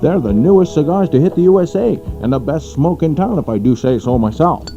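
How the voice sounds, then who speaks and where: A man's voice: fairly high pitch, animated, a little fast, dark tone. Cocky wise guy over music. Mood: smug. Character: smooth, cocky wise-guy cigar lover. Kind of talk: old-time radio tough-guy patter in an ad. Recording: dull radio sound, music behind.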